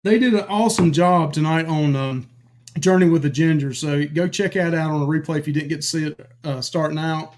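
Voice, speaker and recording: A man's voice: a little high, a little fast, a little mellow. A man co-hosting a live stream in casual chat, greeting viewers by name with lots of fillers while he is losing his voice. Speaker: livestream co-host.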